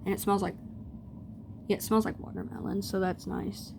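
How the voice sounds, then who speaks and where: A woman's voice: mid-range voice, level tone, normal speed, vocal fry. A young woman with a scratchy voice, chatting casually and rambling through tangents as she shows off makeup at home. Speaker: beauty vlogger. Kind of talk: casual chat.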